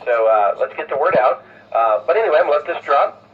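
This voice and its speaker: A man's voice comes through a narrow, phone-like radio channel, sounding away from the mic. He hosts an amateur radio net informally, with hesitant, halting phrasing. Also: fairly high pitch, lively intonation, far from the mic. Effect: amateur radio transmission. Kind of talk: informal radio net check-ins and announcements.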